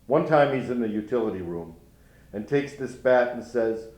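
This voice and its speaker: A man's voice: mid-range voice, expressive, moderate pace, a little mellow, natural voice. A man playing a bitter grieving old grandfather. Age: older. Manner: folksy storytelling, rueful. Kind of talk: dramatic monologue reading. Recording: roomy echo.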